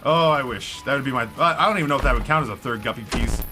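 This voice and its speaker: A man's voice: mid-range voice, very fast, pushed and bright. A middle-aged man streaming a video game, rambling on in casual, self-deprecating chat and never letting the talk stop. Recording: music playing clearly behind.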